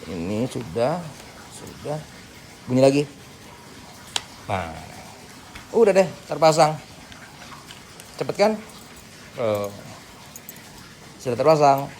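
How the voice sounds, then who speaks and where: A man's voice: mid-range voice, sing-song, slow, long pauses, a little mellow. A man giving a casual hands-on tutorial, informally talking through each step as he works. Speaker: tutorial host. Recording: clearly noisy background.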